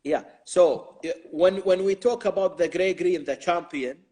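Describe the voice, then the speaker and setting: A man's voice: high-pitched, unhurried, warm tone. A man whose first language is Arabic, hosting and translating an interview, often agreeing briefly and searching for words in English. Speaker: host and translator. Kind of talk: casual interview.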